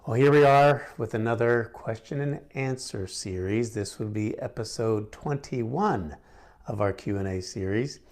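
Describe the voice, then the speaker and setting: A man's voice: mid-range voice, a little slow, a little mellow. A middle-aged man hosting a casual question-and-answer lesson on gemstones, friendly and chatty with frequent fillers and restarts. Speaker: gemstone instructor and host. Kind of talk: casual Q&A to camera.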